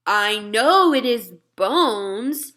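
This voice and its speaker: A woman's voice: on the high side, expressive, drawn-out words, fairly bright tone, heavily acted. A woman voicing a very old friendly giant in a children's storybook, declaiming theatrically and indignantly in garbled grammar. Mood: forlorn. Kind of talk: children's storybook read-aloud.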